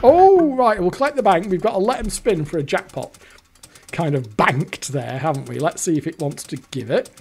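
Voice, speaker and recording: A hoarse British man giving rambling, exasperated commentary while playing a fruit machine, swearing often. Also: sits high, lively intonation, normal speed, a little mellow. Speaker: video host.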